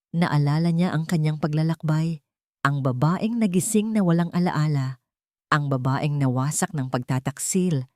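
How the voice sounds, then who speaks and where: A woman narrating a scripted dramatic audiobook story with measured, dramatic phrasing. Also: fairly low pitch, warm tone, dry recording, studio quality.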